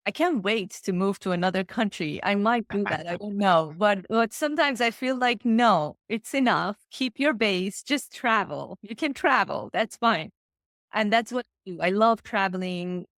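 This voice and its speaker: A woman's voice: medium pitch, expressive, moderate pace, fairly bright tone, no room sound, studio quality. A woman from Iran, speaking English with slightly phrasing, talking earnestly and enthusiastically as a podcast guest and coach. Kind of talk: casual podcast interview.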